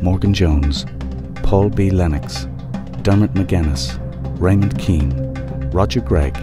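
A man's voice: deep voice, slow pace. A man reading scripted closing credits for a radio drama as its announcer. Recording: loud drum music behind.

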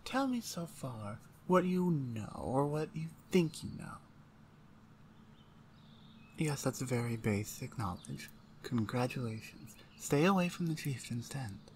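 A woman voicing a blunt, domineering orc shaman, an older sister, in a deliberate, condescending ASMR roleplay. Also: extremely low pitch, very animated, darkish tone, slight background noise, heavily acted. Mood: exasperated, lecturing. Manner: deliberate, pointed, condescending, interrogating. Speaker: audio roleplay voice actor. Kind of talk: ASMR roleplay, one-sided dramatic dialogue.

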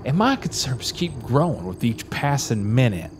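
An actor playing a short-tempered, threatening kidnapper. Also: male voice, medium pitch, very animated, moderate pace, a little hiss or hum, lightly acted. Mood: menacing. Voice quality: gruff, threatening. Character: menacing short-tempered male kidnapper driver.

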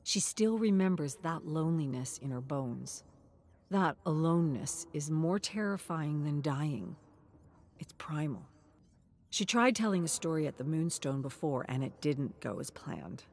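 A woman reading a dramatic scripted story in a measured, suspenseful manner, dropping toward breathy near-whispers for emphasis. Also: deep voice, expressive, darkish tone. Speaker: narrator.

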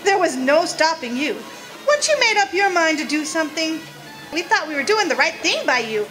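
A woman's voice: very high-pitched, sing-song, normal speed, a bit bright, soft background music, heavily acted, low-quality recording. A woman playing a stern, judgmental, prim mother who scolds, in a radio drama. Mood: scolding.

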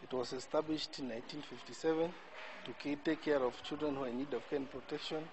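A man's voice: fairly high pitch, fairly even intonation, quick pace, noisy background. A middle-aged man in a suit, an official answering interview questions outdoors in a formal, listing way. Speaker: institution official. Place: outdoors by a building.

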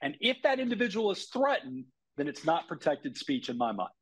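Animated, husky male legal analyst. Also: a little high, a little fast. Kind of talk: interview commentary. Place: indoor room. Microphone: video call.

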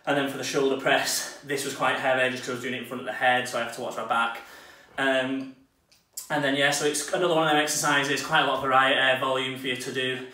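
A man's voice: mid-range voice, flat delivery, quick pace, pushed and bright. A young man giving casual, offhand workout advice with fillers, recorded in a small home gym. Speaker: fitness vlogger. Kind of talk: casual workout talk-through. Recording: echoey room, voice from far off.

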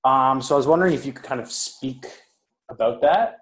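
A man's voice: mid-range voice, brisk, fairly bright tone, reverberant. A man from Toronto with a Canadian accent, asking hesitant, filler-heavy questions about hockey training on a video call. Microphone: webcam on a video call.

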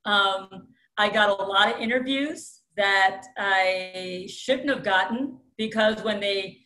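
A woman's voice: medium pitch, slow pace, pushed and bright. A middle-aged woman from New York with a thick New York accent, a strength coach talking bluntly as a guest over a webcam call from a room at home. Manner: blunt, self-described alpha female. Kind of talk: casual interview talk. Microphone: webcam on video call. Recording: roomy video-call echo.

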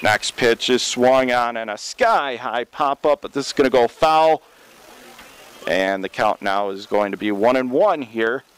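An American male baseball announcer calls the game live for radio. His voice is smooth and resonant, sitting at a medium pitch. He describes play in a measured, detailed way, filling in with statistics as he goes. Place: press box at a baseball stadium.

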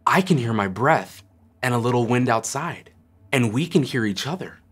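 A man in his thirties hosting a children's science lesson, speaking brightly and encouragingly to the camera and to a puppet sidekick in a studio. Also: mid-range voice, animated, moderate pace, breathy edge. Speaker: children's science show host. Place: studio set.

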